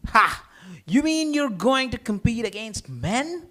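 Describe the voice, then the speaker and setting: A smug, argumentative male schemer played by a man in a comedy table read. His high voice swings theatrically as he mocks and taunts. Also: big pitch swings, slow, pushed and bright, exaggerated voice. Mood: smug taunting.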